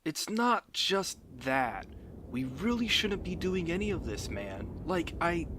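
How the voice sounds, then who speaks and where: A man's voice: a little high, big pitch swings, takes it slowly, background noise. A man playing an anxious, doubting young teammate, nervous and hesitant, in an audio drama. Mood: worried.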